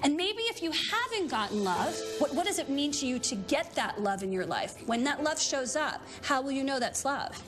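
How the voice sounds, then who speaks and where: A high-pitched middle-aged woman coaches her clients bluntly, pushing and teasing. She talks as a matchmaker on a reality show. Music plays clearly beneath her voice. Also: sing-song, normal speed, hardly any pauses. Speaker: matchmaker host. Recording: music underneath.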